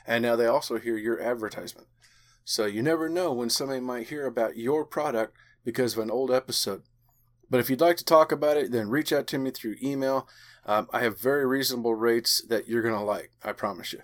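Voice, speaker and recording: A man's voice: medium pitch, fairly quick. A man hosting a podcast in a chatty, enthusiastic way. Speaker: podcast host.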